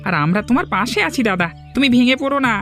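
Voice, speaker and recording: Lady doing a high earnest cartoon village kid voice, reassuring, bit of music behind. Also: lively intonation, fast talker, a bit bright. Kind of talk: dubbed cartoon village drama.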